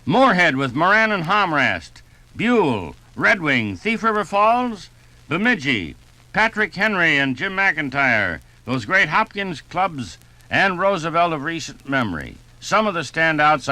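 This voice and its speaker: Male 1950s radio sports narrator, animated delivery, slightly high voice, scripted storytelling. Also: dry recording, poor audio.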